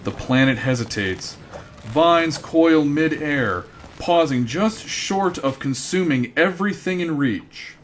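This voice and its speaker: A man's voice: on the high side, expressive, slow pace, slight background noise. Man narrating a comic sci-fi tale. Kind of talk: scripted comedy narration.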